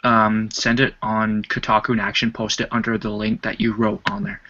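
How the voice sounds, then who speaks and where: A man chatting on an online voice call, laying out his opinions in a measured way and at length. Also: fairly low pitch, monotone, sharp tone. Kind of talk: casual online voice chat. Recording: lo-fi call audio.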